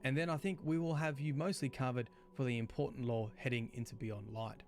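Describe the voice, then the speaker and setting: A man reading scripted explainer narration in a clear, expository way. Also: mid-range voice, steady tone, a little fast. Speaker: lore video narrator. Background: background music.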